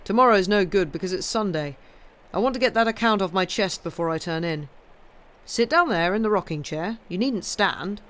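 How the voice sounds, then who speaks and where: A reader voicing a jovial, blustering middle-aged husband with a loud, hearty manner. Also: mid-range voice, animated, moderate pace, lightly acted. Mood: firm.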